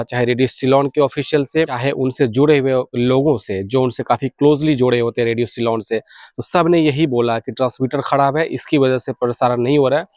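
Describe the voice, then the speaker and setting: A man's voice: mid-range voice, a little mellow, dry recording. A man with an Indian accent and a mid-range voice speaks as the host of a hobby channel. He delivers an informal update, explaining things and often restating them in other words. The sound is dry, with hardly any room in it. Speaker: video channel host sharing radio hobby news. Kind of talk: informal news update to viewers.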